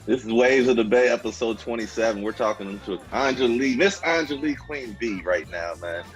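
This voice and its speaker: A man's voice: mid-range voice, a little fast, soft background music, rough recording. A man co-hosting a casual radio interview, talking in a slangy, informal way to guest and listeners. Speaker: radio show co-host and event host.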